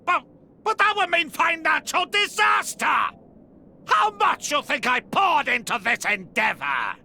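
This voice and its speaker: An actor voicing a greedy, scheming little furry merchant villain in a game cutscene, blustering in broken grammar with a raspy voice. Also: high voice, very animated, measured pace, sharp tone, raised voice, heavily acted. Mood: indignant, panicked. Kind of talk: video game cutscene acting.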